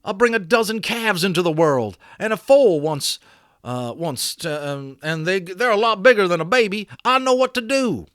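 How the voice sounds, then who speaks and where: A man voicing a rough, excitable grown cowboy who talks in folksy backcountry grammar. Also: sits high, moderate pace, no room sound, clean studio sound, pitched above the performer's own voice. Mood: urgent.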